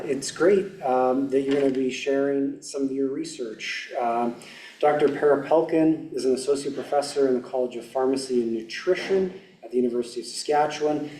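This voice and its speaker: A man hosting an event, introducing a guest speaker haltingly with many ums and uhs. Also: medium pitch, warm tone. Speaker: event host. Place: lecture hall. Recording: echoey hall, mic far off.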